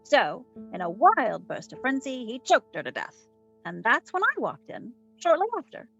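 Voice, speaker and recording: Woman playing a high-voiced wisecracking radio private eye. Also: very animated, moderate pace, creaky, fairly bright tone, soft background music, exaggerated voice. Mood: wry recounting. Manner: wry, slangy, theatrical storytelling. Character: wisecracking, self-assured female private eye of old-time radio. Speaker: community theatre voice actor. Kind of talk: 1940s radio detective acting.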